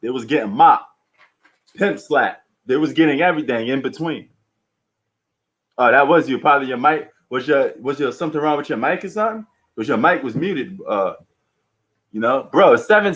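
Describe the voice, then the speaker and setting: A man's voice: mid-range voice, animated, normal speed, fairly bright tone. A man hosting a live sports stream, chatting casually with slangy, bantering commentary. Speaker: livestream sports host.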